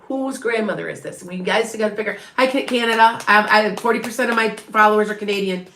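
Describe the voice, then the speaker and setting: Middle-aged Wisconsin woman, Upper Midwest accent, medium-pitched chatty live-stream talker, echoey room. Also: fairly quick, pushed and bright. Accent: Upper Midwest, northwest of Chicago. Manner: rambling, chatty, many restarts and asides. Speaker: psychic medium. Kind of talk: live-stream reading and chat with viewers.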